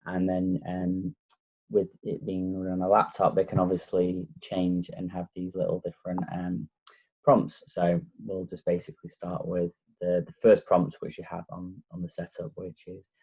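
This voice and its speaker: A man with a Lancashire accent gives a relaxed, casual tech walkthrough, with frequent ums and pauses. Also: deep voice, creaky, breathy edge, lo-fi sound. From Blackpool, North West England. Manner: relaxed, hesitant with many fillers. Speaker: tech reviewer. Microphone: laptop microphone.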